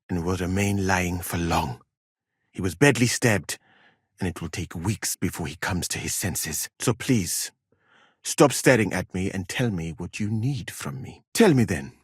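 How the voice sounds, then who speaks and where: A man's voice: deep voice, slightly rough, dry recording, studio quality, exaggerated voice. A man playing a proud, exacting medieval physician in a video game, speaking formally and precisely. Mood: measured, guarded. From Mali. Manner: formal, measured, precise wording. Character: proud, exacting foreign male physician in a medieval war camp. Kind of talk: dramatic video game dialogue.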